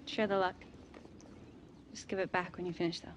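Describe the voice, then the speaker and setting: A woman's voice: lowish voice, little pitch movement, brisk, noisy background, natural voice. An actress playing a determined, playful young female footballer, casual and plain-spoken, in a game cutscene. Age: young adult. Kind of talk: video game cutscene acting.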